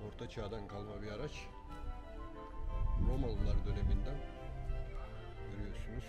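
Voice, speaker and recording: A man's voice: on the low side, fairly even intonation, normal speed. A man giving casual travel vlog commentary on location as he goes. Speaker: travel vlogger. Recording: loud music over the voice.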